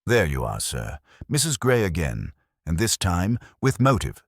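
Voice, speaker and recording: A man's voice: deep voice, huge pitch swings, measured pace, dry recording, clean studio sound, exaggerated voice. A man voicing an eager, deferential police sergeant who presses his points insistently in a detective story. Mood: triumphant. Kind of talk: detective audiobook character reading.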